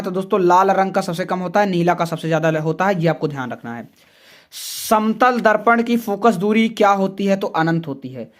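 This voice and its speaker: A man teaching a class, working through a science model exam paper with students in a chatty, repetitive way. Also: high-pitched. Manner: chatty, calls students "friends", repeats words often. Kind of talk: exam paper walkthrough lesson.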